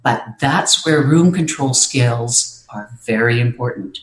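Roomy-sounding speaking coach explaining steadily. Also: mid-range voice. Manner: measured, explanatory, occasional hesitations. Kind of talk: instructive talk on presenting. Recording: roomy echo.

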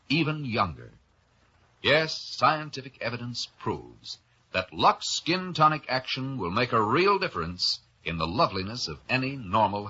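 Animated, forceful 1950s radio pitchman. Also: husky. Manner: emphatic, deliberate persuasion. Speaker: commercial announcer. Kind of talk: scripted 1950s radio soap advertisement. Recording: dull vintage radio broadcast.

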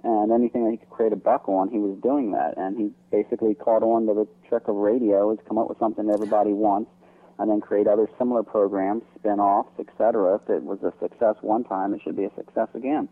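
A man with a lowish voice talks as an interview guest. He explains in an informal way, and his voice comes through a narrow, tinny telephone line. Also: level tone, fast.

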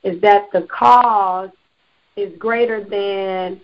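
A woman in her twenties, a gospel singer guest, speaks earnestly in a testifying way, slowing down on the points she stresses. The sound is somewhat dull and lo-fi. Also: mid-range voice, fairly even intonation. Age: 20s. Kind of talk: radio interview.

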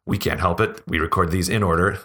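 A man hosting a podcast, talking casually and laughing often, his voice hoarse as if he is losing it. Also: low voice, fairly even intonation, a little fast, fairly bright tone. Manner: chatty, laughing, rambling restarts. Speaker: podcast host.

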